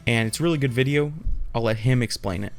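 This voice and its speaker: A man's voice: medium pitch, fast, faint music behind. A man hosting a casual, unscripted tutorial, explaining in an informal, rambling and hesitant way. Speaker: tutorial host.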